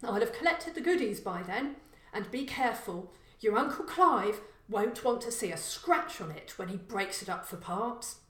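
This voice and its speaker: A woman's voice: medium pitch, sing-song, normal speed, a bit bright. Burglar father, middle-aged female reader: burly, blunt, sure of himself, instructing, crime audiobook, reverberant room. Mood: indulgent.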